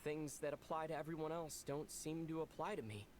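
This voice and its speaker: A man voicing a calm, thoughtful young heroic traveler in a video game, sincere and reflective. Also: a little high, a little fast, a little hiss or hum, close to an everyday voice. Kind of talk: scripted game dialogue.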